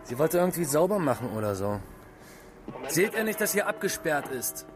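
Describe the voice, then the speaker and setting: An actor playing an officious, suspicious police guard in a radio drama. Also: male voice, fairly high pitch, sing-song, moderate pace, music in the background. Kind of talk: naturalistic radio drama dialogue.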